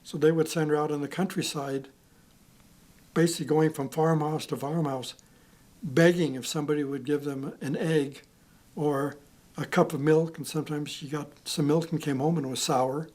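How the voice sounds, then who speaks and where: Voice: medium pitch, fairly even intonation, moderate pace, a little mellow. An adult earnestly retelling a parent's hardships, sometimes searching for words. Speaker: interviewee. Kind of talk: retelling a parent's story in an interview.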